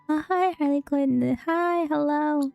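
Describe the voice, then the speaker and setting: Female streamer: friendly, giggly, casual chat, soft dark tone, dry close mic. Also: high voice, vocal fry, dry recording. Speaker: game streamer. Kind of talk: casual livestream chat while playing. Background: game music.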